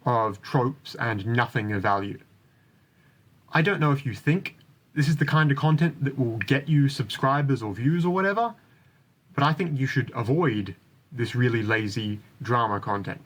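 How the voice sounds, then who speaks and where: A man's voice: mid-range voice, expressive, normal speed, a bit bright. A man delivering critical, articulate scripted commentary as a video creator.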